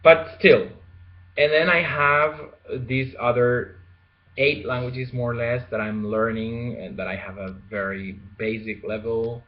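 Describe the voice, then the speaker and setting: Slow, hesitant young man on lo-fi audio. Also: mid-range voice, steady tone. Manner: hesitant, many fillers and restarts, slow. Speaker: language learner vlogger. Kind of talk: casual unscripted monologue. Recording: dull lo-fi home video audio.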